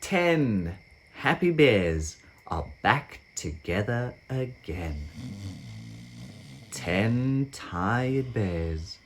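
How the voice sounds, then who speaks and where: A man reading a children's picture book aloud as narrator, with expressive sing-song, deliberate phrasing. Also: on the low side. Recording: faint background noise with sound effects.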